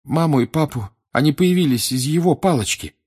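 Man voicing a frightened teenage boy, high and urgent. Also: expressive, a little mellow, slightly rough. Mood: shaken. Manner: urgent, halting, exclaiming. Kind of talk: audiobook character acting.

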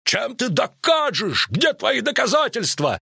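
A blustering nobleman, yells in scandalized outrage. His exclamations are drawn out, high and piercing, in a dry fantasy audiobook recording. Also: very animated, a little slow, creaky, breathy edge, no room sound, heavily acted.

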